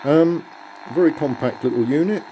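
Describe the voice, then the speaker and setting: A man presenting a radio receiver in a casual, unhurried, matter-of-fact demonstration. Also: a little high, noisy background.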